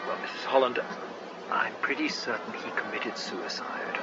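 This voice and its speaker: A man's voice: on the low side, normal speed, close to an everyday voice. Actor as dry, sceptical man over music. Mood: grave, sceptical. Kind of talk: radio drama acting. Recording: dull radio recording, loud music behind.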